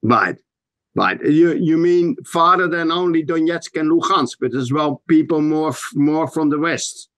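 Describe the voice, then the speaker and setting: A man with a Dutch accent, a native Dutch speaker, interviewing in English; he speaks haltingly and repeats words while searching for his phrasing. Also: a little high, fairly bright tone, dry recording. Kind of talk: conversational interview.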